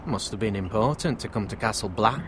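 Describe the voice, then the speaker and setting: An actor playing a curious, easygoing young brother-in-arms in a fantasy game, casual and inquisitive. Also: male voice, on the low side, brisk, slight background noise, lightly acted. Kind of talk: dramatic fantasy game acting.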